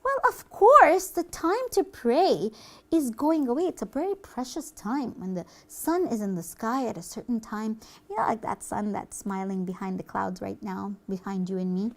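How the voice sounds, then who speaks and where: A woman voicing an earnest, pious good character in a children's puppet show, hesitant and gently reproachful. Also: a little high, lively intonation, moderate pace, pitched below the performer's own voice.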